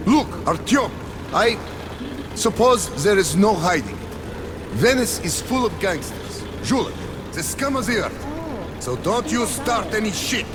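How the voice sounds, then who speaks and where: A blunt, no-trouble station boss from a video game. His voice is high and swings widely as he lays down the law. There is clear noise in the background. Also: big pitch swings, a little slow. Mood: warning. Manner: blunt, emphatic. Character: gruff, exasperated male station boss wary of trouble.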